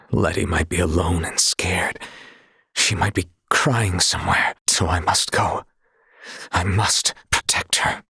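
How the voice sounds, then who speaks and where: An actor playing an earnest, chivalrous young knight in a video game, speaking formally and courteously with a husky, breathy voice. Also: male voice, lowish voice, fairly bright tone, dry recording, studio quality, exaggerated voice. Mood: solemn, devoted. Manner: formal, archaic, courtly phrasing.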